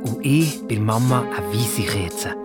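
A woman's voice: extremely low pitch, animated, slow, loud music behind the voice, full character voice. A woman playing a blunt, grumbling young boy with a Swiss accent, a detective sidekick telling his side in a children's radio play. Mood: proud, loyal.

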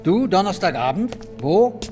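Defensive, needling musician, halting, French interjections, lively swooping pitch, faint music behind. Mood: accusing. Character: defensive, needling orchestra musician, suspicious of colleagues. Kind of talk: radio drama acting.

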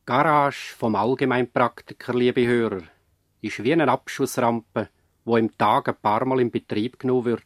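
An actor with a Swiss German accent as a measured, wry narrator addressing listeners in a radio play. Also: male voice, medium pitch, fairly even intonation, dry recording, clean studio sound, close to an everyday voice. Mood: wry, explaining. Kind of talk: scripted radio play commentary.

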